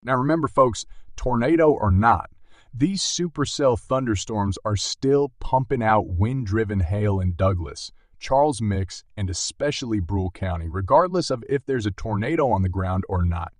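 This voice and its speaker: Man acting as an urgent, earnest TV meteorologist: lowish voice, close dry sound, emphatic live storm coverage. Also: animated, moderate pace, no room sound. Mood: urgent warning. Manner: emphatic, addresses viewers directly. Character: earnest, urgent male TV weather broadcaster. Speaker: broadcast meteorologist. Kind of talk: live severe weather coverage.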